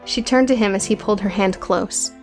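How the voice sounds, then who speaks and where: A woman's voice: mid-range voice, a little fast, soft background music. A woman reading scripted, dramatic audiobook narration.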